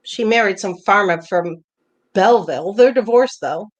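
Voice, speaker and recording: A woman's voice: medium pitch, normal speed, natural voice. A woman playing a hurt, jealous older wife, pointed and probing, in a naturalistic play reading. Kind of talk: virtual staged play reading, naturalistic dialogue.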